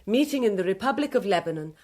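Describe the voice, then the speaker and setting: A woman's voice: medium pitch, lively intonation, fast talker, a little mellow, natural voice. A woman playing a cool, formal aide to guerrillas in a radio drama, speaking. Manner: formal, composed. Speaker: actor playing a guerrilla's go-between.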